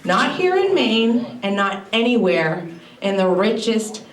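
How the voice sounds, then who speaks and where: A woman's voice: medium pitch, sing-song, takes it slowly. A woman co-coordinator giving an earnest, rallying opening speech at a public event. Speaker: anti-poverty group co-coordinator. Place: university library event hall. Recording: echoey hall, distant mic, faint noise.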